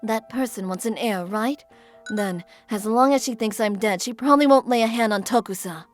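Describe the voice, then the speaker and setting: A woman playing a composed, coolly vengeful young older sister in a manga dub, speaking deliberately and resolutely. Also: on the high side, soft background music, natural voice. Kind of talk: anime-style manga dub acting.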